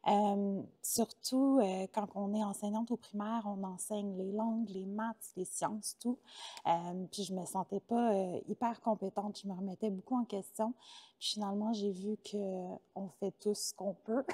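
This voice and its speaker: Québécois woman, 30s, mellow, chatty interview guest, hesitant delivery. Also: mid-range voice, fairly even intonation, unhurried. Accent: Québécois French. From Quebec, Canada. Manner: hesitant, many filler sounds, warm and talkative. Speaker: interview guest, former teacher turned entrepreneur. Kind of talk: casual interview conversation.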